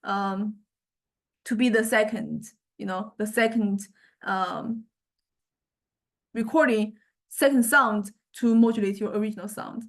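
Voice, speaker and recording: A woman teaching music technology in an informal software demonstration, thinking aloud and explaining tentatively in a halting way. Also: medium pitch, fairly even intonation. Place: recorded software lesson.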